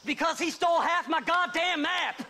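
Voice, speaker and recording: A man's voice: very high-pitched, normal speed, bright, forceful tone, a little hiss or hum, heavily acted. An actor playing a half-insane, unwashed male grave robber in a video game, with a cackling, rambling, unhinged manner. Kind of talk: video game cutscene acting.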